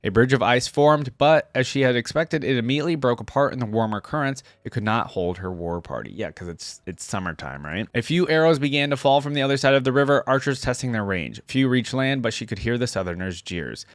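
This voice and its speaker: A man's voice: medium pitch, animated, fairly quick, hardly any pauses, dry recording. Man, mid-range voice, informal reaction host, story reading, casual commentary, dry recording. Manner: informal, breaks off to comment on what he reads.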